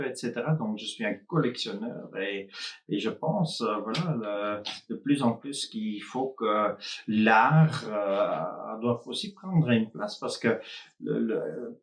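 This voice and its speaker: A man whose first language is Dutch, speaking with a Flemish accent as a hypnotist in a casual live conversation, pausing and restarting often. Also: medium pitch, roomy sound. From Flanders, Belgium. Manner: hesitant, many pauses and restarts.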